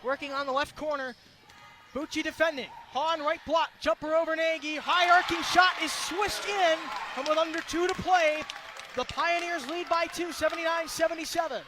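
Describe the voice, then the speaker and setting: A man doing excited, projected radio play-by-play of basketball in short, clipped, rapid-fire phrases. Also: very high-pitched. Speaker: play-by-play commentator. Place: indoor basketball arena. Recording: loud crowd and gym noise. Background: crowd noise and bouncing basketball.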